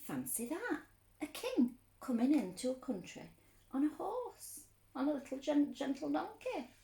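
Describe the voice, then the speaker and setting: A woman reading a story aloud for children, expressive, explaining things simply as a teacher would. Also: high-pitched, unhurried, vocal fry, roomy sound.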